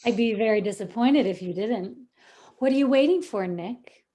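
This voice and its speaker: A woman's voice: on the high side, moderate pace, dark tone, natural voice. A woman playing a sweet, fearful young bride in a radio play. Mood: coy.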